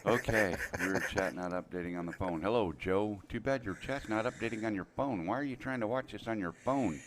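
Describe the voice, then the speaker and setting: A man's voice: on the low side, a little fast, background noise. A man hosting a live show, chatting casually and joking as he reads out viewer names. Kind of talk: casual live-stream chat.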